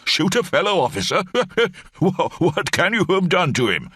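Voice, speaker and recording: A man's voice: sits high, big pitch swings, normal speed, pushed and bright, husky, no echo, studio quality, pitched above the performer's own voice, full character voice. A man voicing an elderly, bumbling brigadier with clipped, rambling upper-class speech. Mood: jolly.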